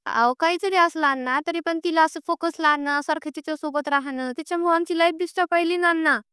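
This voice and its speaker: A woman's voice: very high voice, fast talker, fairly bright tone, dry recording, clean studio sound. A young woman, speaking insistently and pleadingly as she airs a grievance on a recorded phone call. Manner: insistent, aggrieved, pleading, repeats herself. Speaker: caller with a personal grievance. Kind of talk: emotional private phone conversation.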